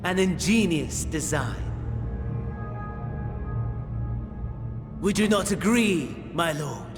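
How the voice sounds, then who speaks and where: An actor playing a sly imperial servant in a video game, smugly courteous and reverent, with florid, preacher-like formal delivery. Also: male voice, fairly high pitch, lively intonation, measured pace, music in the background. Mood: smug, deferential. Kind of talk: theatrical video game cutscene narration.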